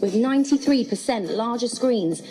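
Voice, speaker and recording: A woman's voice: a little high, expressive, normal speed, music in the background, low-quality recording. A woman reading scripted product adverts as a commercial voice-over.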